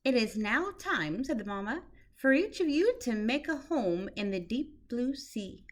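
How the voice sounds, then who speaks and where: Slow, lively-voiced teacher reading aloud. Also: female voice, on the high side. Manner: slow, expressive storytelling. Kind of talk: reading a children's picture book aloud.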